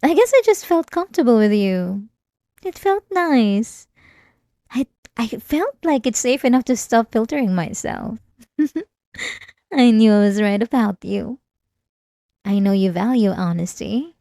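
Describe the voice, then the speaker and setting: A woman playing an obsessive, manipulative therapy patient in an ASMR roleplay, speaking softly and politely with an unsettling, menacing calm. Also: fairly high pitch, measured pace, vocal fry, pauses often, no echo, studio quality. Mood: sweetly manipulative.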